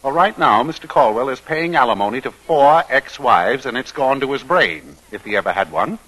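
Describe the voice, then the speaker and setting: A man's voice: medium pitch, expressive, normal speed, fairly bright tone, slightly rough, low-quality recording. An actor playing a gloomy, fretful businessman in an old radio comedy, aggrieved and dithering, often repeating himself. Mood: exasperated. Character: gloomy, fretful businessman, exasperated and easily flustered. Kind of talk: 1940s-50s radio comedy acting. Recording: vintage radio broadcast sound.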